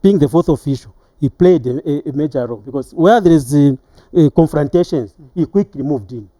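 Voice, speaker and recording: A middle-aged man with a Zambian accent recounting his experiences as a retired referee in an interview, halting and repeating words as he goes. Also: fairly high pitch, warm tone, dry recording.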